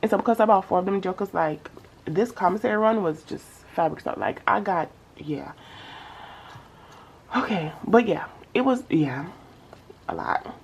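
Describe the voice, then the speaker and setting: A woman's voice: fairly low pitch, animated, normal speed, creaky, long pauses, slight background noise. A young woman with a stuffy nose chatting casually to camera about her spending, slangy and addressing viewers as y'all. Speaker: budgeting vlogger.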